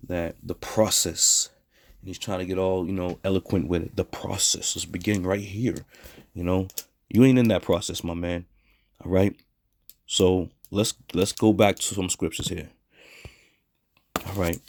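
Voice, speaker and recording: Hoarse guy preaching, real deep voice, blunt and scornful, keeps saying all right. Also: fairly even intonation, measured pace, pauses often, dry recording. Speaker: teacher. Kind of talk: street preaching scripture lesson.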